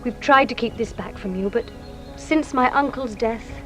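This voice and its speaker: Woman playing poised young lady of wealth, fairly high voice, measured and formal, radio drama, faint music. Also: close to an everyday voice. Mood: grave, confiding. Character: composed, genteel young lady of a wealthy household.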